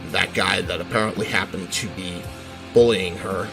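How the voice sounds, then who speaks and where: Very deep, gravelly teenage son played by a man. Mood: hurt, confessing. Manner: halting, hesitant, earnest confession. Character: brooding, defensive, bullied teenage son, protective of family. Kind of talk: amateur audio drama roleplay. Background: music.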